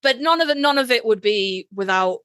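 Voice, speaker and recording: A woman's voice: fairly high pitch, expressive, fairly quick, fairly bright tone, no echo, studio quality. A woman co-hosting a casual fan podcast, chatting in a sweary, gushing, self-mocking way. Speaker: podcast co-host.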